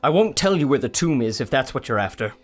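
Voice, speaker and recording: An actor playing a principled adventurer scientist in a pulp audio drama, earnest and firm. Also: male voice, medium pitch, sing-song, fast talker, faint music behind, close to an everyday voice. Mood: firm defiance.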